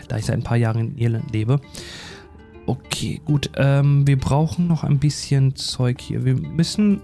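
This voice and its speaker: A man's voice: mid-range voice, creaky, dark tone. A man doing casual, rambling let's play commentary in a self-deprecating, chatty way. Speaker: gaming YouTuber.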